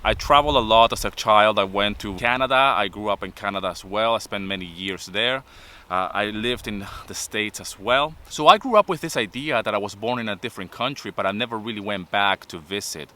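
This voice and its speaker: A man's voice: lowish voice, a little fast, sharp tone. A man in his fifties with a Venezuelan accent, giving a motivational talk to camera in an earnest tone. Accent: Venezuelan Spanish. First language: Spanish. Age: 50s. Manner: earnest, repeats points with "okay?". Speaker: vlogger.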